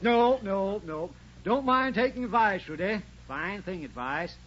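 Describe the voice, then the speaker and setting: Casting: chatty small-town barber, bright and animated, rambling droll afterthoughts, 1940s radio sitcom on a dull recording. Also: male voice, sits high, unhurried. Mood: droll, chuckling. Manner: rambling, repeats himself, tags jokes with "though". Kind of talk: 1940s radio comedy acting. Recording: muffled, faintly noisy 1940s radio recording.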